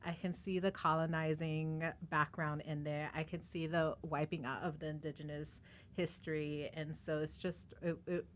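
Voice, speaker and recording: A woman with a notably low-pitched voice hosts a casual podcast conversation. She is relaxed and chatty, sharing her own stories between listening. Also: normal speed, a little hiss or hum. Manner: relaxed, laughs easily, chatty. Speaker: podcast host. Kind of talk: casual interview chat.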